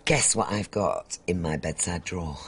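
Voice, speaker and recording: A man's voice: low voice, moderate pace, fairly bright tone, husky, full character voice. A man playing a chatty, fussy, self-satisfied married woman in a comedy bedtime sketch, with coy, needling prattle. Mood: coy, playful. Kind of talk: domestic comedy sketch dialogue.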